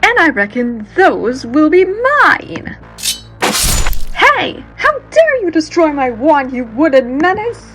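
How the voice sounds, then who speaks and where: Shrill, haughty villainous sorceress. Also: female voice, very animated, fairly bright tone, soft background music, exaggerated voice, poor audio. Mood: gloating. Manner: taunting, drawn-out delivery. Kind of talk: audio drama acting.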